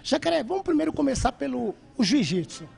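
A man's voice: very high voice, very animated, a little fast, creaky, darkish tone. A man hosting a sports show, upbeat and chatty, interviewing a fighter with a handheld mic in a gym. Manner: upbeat, addresses viewers as "rapaziada". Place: martial arts gym, indoors.

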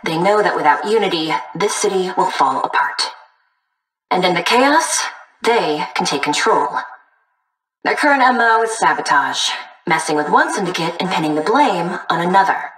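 Sassy, confident young game recruiter. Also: female voice, on the low side, lively intonation, normal speed, a bit bright, reverberant, far from the mic, low-quality recording. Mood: earnest, cool. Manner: sassy, cool, playful. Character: confident young woman, cheeky criminal artiste recruiter. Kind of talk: video game voice acting.

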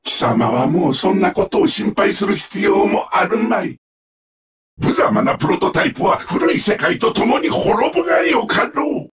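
An actor playing a sadistic, mocking combat-robot villain in a Japanese video game, with sneering, theatrical, drawn-out taunts. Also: male voice, sits high, very animated, a bit bright, recorded from a distance, a little hiss or hum, exaggerated voice.

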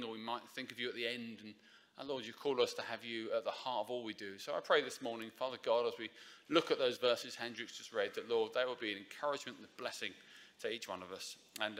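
A man's voice: medium pitch, a bit bright. A man, preaching an informal, chatty sermon at a church lectern, with many fillers and restarts. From Essex, England. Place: church sanctuary. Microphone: lectern microphone.

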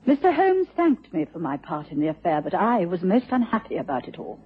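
A woman's voice: lowish voice, sing-song, brisk, slightly rough, exaggerated voice. An actress playing an anxious, genteel married lady, polite and formal, in a radio drama. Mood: troubled. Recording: dull old radio recording.

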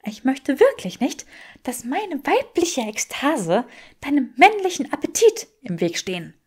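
Woman acting a high-voiced, spirited young woman. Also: big pitch swings, measured pace. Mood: prim, teasing. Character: spirited, sharp-witted young woman dinner guest.

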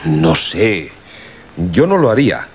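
An actor with a husky voice and a Castilian Spanish accent playing a cautious, concerned radio crew colleague who reasons calmly in a radio drama. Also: male voice, lowish voice, takes it slowly, exaggerated voice. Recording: muffled radio recording.

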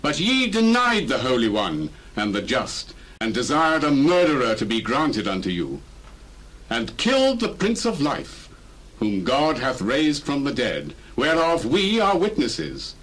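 A man's voice: medium pitch, wildly swooping pitch, a little slow, fairly bright tone, heavily acted, rough recording. A male actor playing a bold, fervent apostle preaching solemnly and emphatically in a dramatized Bible reading. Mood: earnest rebuke. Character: Peter, fervent apostle preaching boldly to crowds.

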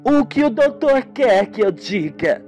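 A man's voice: very high-pitched, sing-song, unhurried, a little mellow, soft background music, pitched above the performer's own voice, heavily acted. A man voicing a young, wealthy woman who runs a ranch, defensive and flustered, in a radio soap. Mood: nervous, defensive. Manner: startled, questioning.

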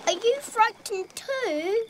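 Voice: extremely high pitch, animated, slow pace, exaggerated voice. An actor voicing a cautious, sensible young vehicle in a children's cartoon. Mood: timid. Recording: loud music behind.

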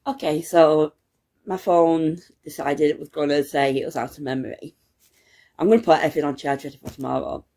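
A young woman, a student, chatting casually to her phone camera at home, her voice croaky from hay fever and her talk rambling and excitable. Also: sits low, brisk. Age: young adult. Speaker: student vlogger. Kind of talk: casual vlog chat. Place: home, indoors. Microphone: phone camera at arm's length.

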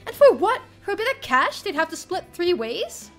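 A young woman streamer reading a visual novel aloud in an expressive, theatrical first-person narration. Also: very high voice, normal speed. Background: soft game music.

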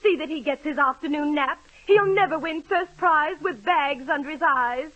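Haughty rich lady, high and bright, old radio sound. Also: normal speed. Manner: imperious, grand. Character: haughty rich society matron. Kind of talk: 1930s radio comedy acting. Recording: muffled old radio broadcast.

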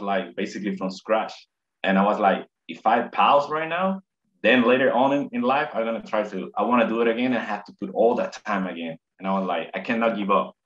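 Puerto rican guy on a muffled webcam call, spanish first language, kinda low voice, stumbles and repeats himself. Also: fairly bright tone. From Puerto Rico. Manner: halting, repeats words, quotes himself. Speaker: interview guest. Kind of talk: casual video call interview. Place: home room, indoors. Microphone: video call webcam. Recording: dull lo-fi video call audio.

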